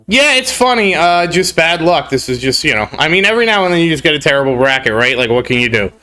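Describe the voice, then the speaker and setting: A man's voice: a little high, big pitch swings, fast talker, sharp tone, faint music behind. A young man with a cold and a congested voice, rambling and grumbling on a casual game live stream. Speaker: gaming streamer.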